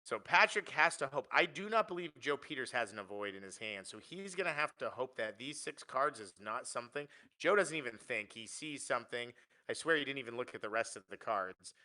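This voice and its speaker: A man's voice: mid-range voice, lively intonation, brisk, sharp tone. A man giving live play-by-play commentary on a card game tournament, analytical and often restarting his phrases.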